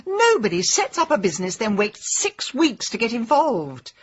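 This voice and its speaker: An actress playing a sharp-tongued, determined middle-aged amateur sleuth in a radio drama. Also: female voice, on the high side, big pitch swings, muffled recording. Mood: defiant, insistent. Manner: brisk, insistent, argumentative.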